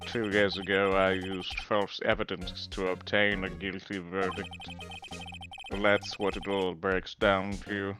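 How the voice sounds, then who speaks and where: A man's voice: deep voice, flat delivery, slow pace, music in the background. A man playing a stern, proud prosecutor weighed down by guilt, curt and formal, in a live game dub. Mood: grave, remorseful. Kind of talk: live fan dub of a visual novel game.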